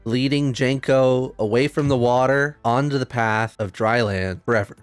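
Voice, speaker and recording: A man playing a tabletop game, chatting casually and chiming in with animated remarks. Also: mid-range voice, moderate pace, smooth, no room sound, soft background music.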